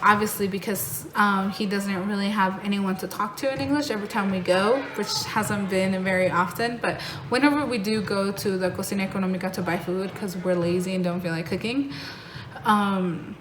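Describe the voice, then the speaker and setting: A woman's voice: medium pitch, fairly even intonation, moderate pace. A young woman with an American accent, vlogging in a casual, rambling way with lots of fillers. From born in Mexico, raised in North Carolina. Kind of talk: casual talking-head vlog. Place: home in Mexico. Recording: echoey room with noticeable background noise.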